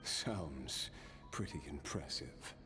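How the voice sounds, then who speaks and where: Regretful immortal warrior man, deep voice, unhurried pauses, wry, reflective, video game drama. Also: expressive, over music, heavily acted. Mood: wry, curious.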